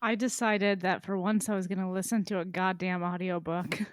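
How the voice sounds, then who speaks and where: A woman's voice: fairly low pitch, level tone, moderate pace, a little hiss or hum. A woman talking casually as a panelist on a podcast. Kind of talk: casual chat.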